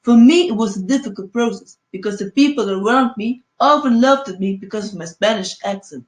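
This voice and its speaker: A woman's voice: medium pitch, a little fast, natural voice. A woman voicing a forty-year-old male immigrant who now works as a manager, telling his own story in an earnest, first-person school presentation. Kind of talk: read-aloud school presentation. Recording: echoey room.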